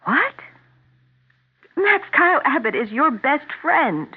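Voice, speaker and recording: An actress playing a worried, bewildered wife in a 1970s radio drama, questioning and exasperated. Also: female voice, high-pitched, sing-song, slow pace, heavily acted. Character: worried, bewildered wife confronting her troubled husband. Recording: narrow tinny old radio broadcast.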